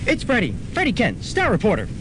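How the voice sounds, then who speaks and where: Eager freshman reporter, male actor, very high and animated, nosy and earnest, noisy student radio recording. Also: fast talker. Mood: eager, inquisitive. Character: eager young male freshman, pestering would-be star reporter. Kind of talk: student radio comedy drama acting.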